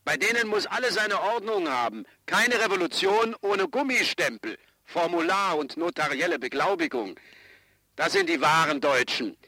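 Sharp, high-voiced mocking anarchist. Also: male voice, moderate pace, no room sound, exaggerated voice. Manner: sardonic, animated. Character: mocking, excitable anarchist comrade, 19th century. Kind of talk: 1970s German radio drama acting.

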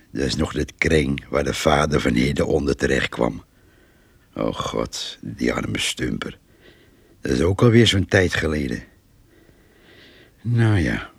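A man playing an old countryman in a Dutch radio drama, musing on life and the farm in a folksy, rambling way with a gravelly, husky voice. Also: rumbling low, no room sound, heavily acted.

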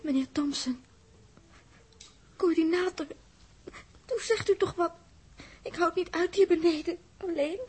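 An actress playing a remorseful, distraught young woman, pleading and halting, in a 1960s radio drama. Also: very high-pitched, a little mellow. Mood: anguished pleading.

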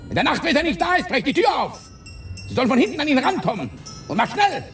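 Brisk police chief, high raised voice, wildly swooping intonation, curt orders, radio play. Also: male voice, very animated, long reverb tail, exaggerated voice. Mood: urgent, commanding. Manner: curt, authoritative. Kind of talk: radio drama acting. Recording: loud music behind the voice.

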